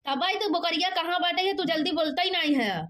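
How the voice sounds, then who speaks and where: Scolding cartoon auntie played by a woman: very high, sharp voice, Awadhi accent, bossy. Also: a little fast. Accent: Awadhi, eastern Uttar Pradesh. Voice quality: shrill, piercing. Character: scolding, bossy village auntie hunting her stolen goat. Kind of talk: cartoon dialogue in rustic dialect.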